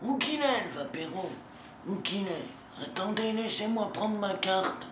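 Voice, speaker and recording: A man's voice: high-pitched, expressive, a little slow, reverberant, background noise. A man playing a grumpy, foul-mouthed old riddle-keeper from a lighthouse, grumbling and scolding the viewer through a sore throat. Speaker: channel host announcing meetups. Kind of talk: comic character sketch.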